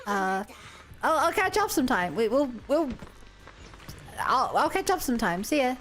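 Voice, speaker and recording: A woman's voice: high-pitched, very animated, normal speed, a bit bright, a little hiss or hum. A woman with a New Zealand accent, a game streamer chatting casually and reading on-screen text aloud.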